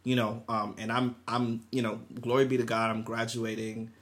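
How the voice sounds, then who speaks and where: A man in his twenties sharing personal experience in a casual, halting, slangy conversational way. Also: mid-range voice, flat delivery. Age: 20s. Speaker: podcast panelist. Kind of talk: casual chat, personal anecdote.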